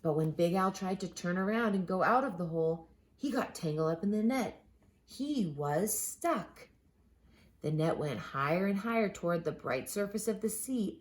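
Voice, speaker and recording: A woman reading a children's picture book aloud expressively, with dramatic pauses and drawn-out words for emphasis. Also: on the low side, animated. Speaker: children's storybook reader.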